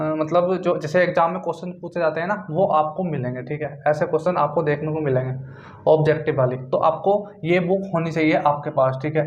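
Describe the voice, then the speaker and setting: A young man giving informal exam guidance, speaking to viewers in a repetitive, instructive way. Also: fairly high pitch. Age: young adult. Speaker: exam-prep YouTuber. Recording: echoey room, dull lo-fi sound.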